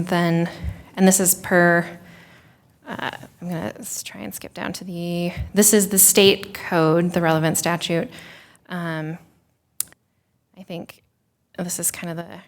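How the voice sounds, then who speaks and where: A lowish-voiced woman explains a program to a city commission in a public meeting. She talks in a measured, explanatory way and fills pauses with ums. She is speaking into a table microphone in a council chamber. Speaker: city staff presenting to a commission.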